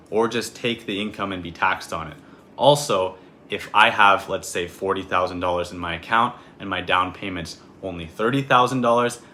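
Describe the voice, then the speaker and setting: A man in his twenties from Canada, a real estate agent talking through an explainer video in a clear, steady way. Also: on the low side, a little hiss or hum. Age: 20s.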